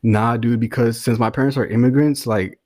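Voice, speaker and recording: A man's voice: mid-range voice, fairly even intonation, normal speed, darkish tone, no room sound, studio quality. A man in his twenties telling stories casually as a podcast guest, in very slangy talk. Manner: slangy, says bro and dude often. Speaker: podcast guest, rapper and music producer. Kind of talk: casual chat storytelling.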